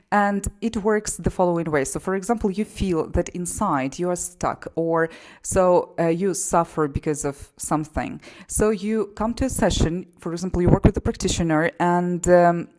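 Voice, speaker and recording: A woman's voice: lowish voice, a little mellow. A woman from Poland with a Polish accent, explaining her music path and a self-help method in a casual monologue full of "you know". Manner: frequent fillers like "you know" and "you see". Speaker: singer and GSR practitioner.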